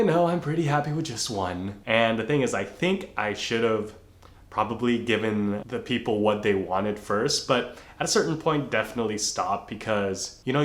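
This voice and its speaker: Guy from indonesia chatting on his vlog, lowish voice, kinda echoey, laid back and self-deprecating. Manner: relaxed, self-deprecating storytelling. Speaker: vlogger. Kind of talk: casual vlog talk while out on a run. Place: outdoors on a nice day.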